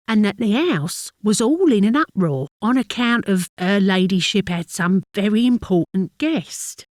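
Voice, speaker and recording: An actress playing a chatty working-class London wife in a dramatic monologue, fond and mildly exasperated, confiding in a gossipy, colloquial way with a London accent. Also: female voice, mid-range voice, darkish tone, no room sound, studio quality. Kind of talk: dramatic monologue, conversational storytelling.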